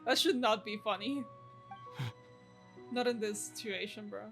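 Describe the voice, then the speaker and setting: A woman's voice: mid-range voice, slow, soft background music. A woman doing casual live game commentary, with sarcastic, slangy asides and some swearing. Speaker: game streamer reacting to cutscenes.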